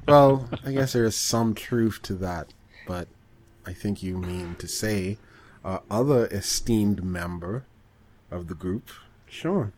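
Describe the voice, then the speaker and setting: A man's voice: on the low side, slow pace, darkish tone, a little hiss or hum. A man co-hosting a podcast, chatting casually in a hesitant, wry way. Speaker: podcast co-host. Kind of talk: casual chat.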